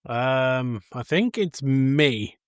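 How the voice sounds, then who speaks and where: Male podcast co-host for relaxed film chat, average pitch, very dry close sound. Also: drawn-out words, clean tone, no room sound. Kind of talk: casual film discussion chat.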